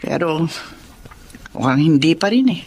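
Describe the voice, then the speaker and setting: A woman's voice: low-pitched, animated, measured pace, darkish tone, slight background noise. An actress with a Filipino accent playing a troubled, kindly middle-aged store owner and mother in a radio drama. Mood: disappointed, confiding. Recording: faint music behind.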